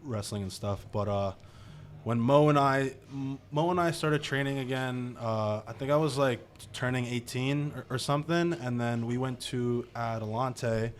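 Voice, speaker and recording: A young man in his twenties tells stories in a loud, laughing voice of middling pitch. A faint room hum sits under the chat. He is a relaxed guest trading memories with a friend. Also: normal speed. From New Jersey. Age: 20s. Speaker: podcast guest. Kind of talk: casual chat.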